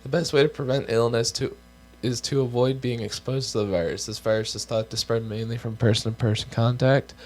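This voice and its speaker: A man's voice: mid-range voice, steady tone, brisk, hardly any pauses, soft background music. A young man from the United States on a casual co-hosted show, reading health guidelines aloud with offhand joking asides, and he is losing his voice. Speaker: co-host.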